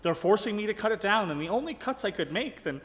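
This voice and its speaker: Harried high-voiced theater director. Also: male voice, expressive, fairly bright tone. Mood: apologetic. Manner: halting, placating. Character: apologetic, harried male theater director, earnest. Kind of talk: live radio comedy play acting. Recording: reverberant ballroom stage.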